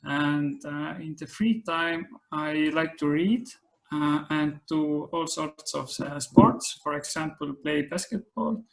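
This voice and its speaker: A man in his twenties from Estonia introducing himself in a measured way. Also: fairly high pitch, vocal fry, poor audio. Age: 20s. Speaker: meeting participant. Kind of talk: casual self-introduction. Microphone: video call.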